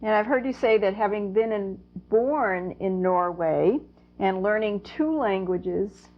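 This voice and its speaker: Soft-spoken woman interviewer. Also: mid-range voice, a little slow. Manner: soft, encouraging, many mm-hmms. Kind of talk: gentle interview questions. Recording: dull lo-fi interview recording.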